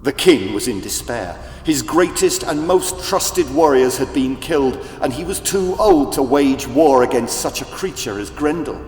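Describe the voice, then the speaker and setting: A man narrating a children's audiobook in a theatrical, deliberate, scripted storytelling style. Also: mid-range voice, expressive, slight background noise. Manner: theatrical, deliberate, with big swells and hushes. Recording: echoey, long reverb, music behind.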